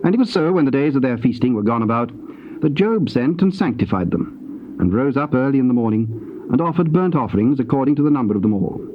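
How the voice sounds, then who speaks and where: A man narrates scripture in a solemn, measured and declamatory way. His pitch is middling, and the dull tape recording carries faint background noise. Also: expressive.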